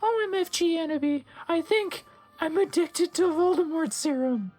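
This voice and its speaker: A narrator acting a weepy female professor, pitched far above normal talk. She is distraught and pleading in a comic, melodramatic story reading. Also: animated, moderate pace, darkish tone, faint music behind.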